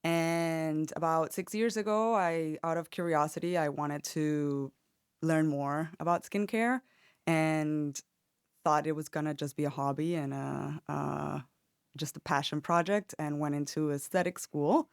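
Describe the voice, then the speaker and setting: A woman from Venezuela, a guest esthetician, telling her career story in a measured, plain way. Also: low voice, fairly even intonation, clear, resonant tone, no echo, clean studio sound. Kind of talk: casual chat.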